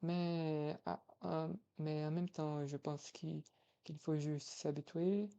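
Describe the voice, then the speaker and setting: A man's voice: fairly high pitch, steady tone, slow pace, creaky, warm tone, smooth, muffled recording. A man of twenty-one from Brazil with a Brazilian Portuguese accent, a language-learning vlogger talking hesitantly with many fillers and restarts. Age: young adult, 21. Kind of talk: casual vlog, practicing foreign languages.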